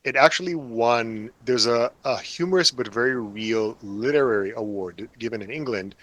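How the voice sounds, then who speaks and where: A man's voice: medium pitch, normal speed, a bit bright, no echo. A man in his forties, an author being interviewed, talking casually with a wry, self-deprecating humor. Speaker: author guest.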